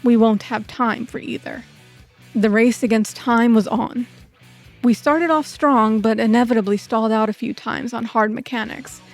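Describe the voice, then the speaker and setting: A woman's voice: fairly high pitch, fairly even intonation, moderate pace, faint music behind. A woman telling a personal story as scripted video essay narration.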